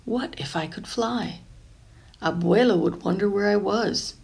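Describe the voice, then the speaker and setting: Female storybook reader, medium pitch with noticeable fry, careful and expressive delivery for young listeners. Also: a little slow. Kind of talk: reading a children's picture book aloud.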